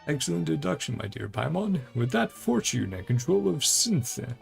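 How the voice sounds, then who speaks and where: Man putting on a grandiose, gravelly treasure-hunting adventurer. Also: on the high side, very animated, normal speed, faint music behind, heavily acted. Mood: eager, persuasive. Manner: theatrical, florid, declamatory. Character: grandiose, eager male treasure-hunting adventurer, courtly and flattering. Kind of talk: game dialogue read aloud in character.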